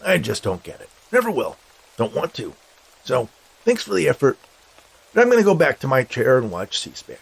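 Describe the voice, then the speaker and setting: A man's voice: mid-range voice, wildly swooping pitch, normal speed, creaky, breathy edge, voice pushed up, full character voice, low-quality recording. A man voicing a grumpy, stubborn old man in a story, with curt, sardonic, dismissive delivery. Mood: irritated, dismissive. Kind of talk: storybook character dialogue.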